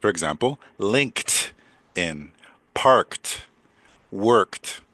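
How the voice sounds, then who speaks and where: An older man with a deep voice teaching English in a chatty way, repeating words and laughing often. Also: expressive, extremely slow, pushed and bright, no room sound. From United States. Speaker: English coach. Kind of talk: casual teaching conversation.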